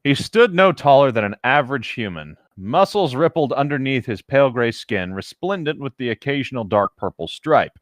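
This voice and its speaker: A man's voice of ordinary pitch, recorded close and dry. He moves between mock dramatic reading and casual banter with lots of swing in his intonation. His delivery is hesitant and amused, as a comedic co-host. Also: lively intonation, moderate pace, few pauses, no room sound. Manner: hesitant, amused, prone to breaking into laughter. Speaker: co-host reading fan fiction aloud with commentary.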